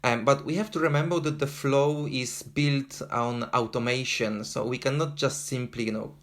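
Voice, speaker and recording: A man with a Polish accent talks earnestly as an interview guest. His pitch sits in an ordinary middle range. He often pauses on fillers and restarts his sentences. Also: steady tone, a bit bright. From Poland. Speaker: guest, endurance runner. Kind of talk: casual interview talk.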